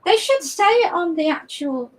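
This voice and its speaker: A woman guest artist with a hoarse voice, chatting casually while demonstrating painting, halting and repeating words. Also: very high-pitched, animated.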